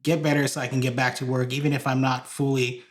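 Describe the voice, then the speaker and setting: A man's voice: medium pitch, level tone, quick pace. Guy from hawaii chatting on a podcast, loose and rambly, ums and restarts everywhere. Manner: rambling with many fillers and restarts. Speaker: podcast guest, church video director. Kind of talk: casual interview chat.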